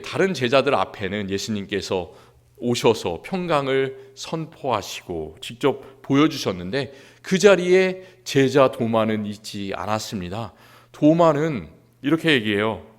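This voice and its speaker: A male pastor from Seoul preaching a sermon in a church, earnest, rising to emphasis and dropping quiet again. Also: medium pitch. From Seoul, South Korea. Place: church sanctuary.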